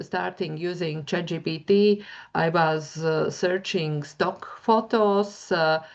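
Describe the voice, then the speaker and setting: Slow, low-voiced Latvian woman lecturing. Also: muffled recording. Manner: hesitant, many fillers, searching for words. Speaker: university lecturer. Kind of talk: webinar presentation, screen-share demo.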